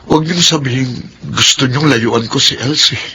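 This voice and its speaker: A man's voice: mid-range voice, normal speed, bright, forceful tone, slight background noise. An actor playing a devoted, wounded young Filipino man in a radio melodrama, pleading earnestly and defiantly for love.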